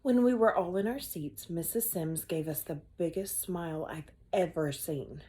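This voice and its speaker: A woman teacher reading a children's chapter book aloud, with expressive storybook delivery. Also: fairly low pitch, normal speed.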